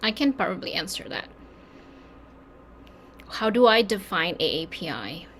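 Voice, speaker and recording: A woman from Indonesia speaks on a community panel, talking in quick, animated bursts with a mid-range voice. Faint background ambience sits behind her. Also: medium pitch, a little hiss or hum. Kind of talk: panel discussion.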